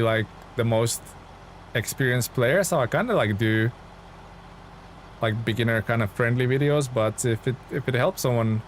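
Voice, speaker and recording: A man from Lithuania, chatting in a friendly, informal way as he thinks aloud on a gaming livestream. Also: mid-range voice. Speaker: video game livestreamer. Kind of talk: casual gaming stream chat and commentary. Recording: clear vehicle and engine noise behind. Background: truck game sounds.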